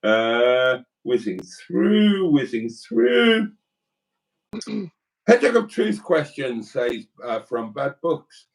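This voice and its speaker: A man's voice: mid-range voice, very animated, takes it slowly, recorded from a distance, poor audio. A man from Wales co-hosting a live stream, chatting casually and reading viewer comments aloud with rambling asides.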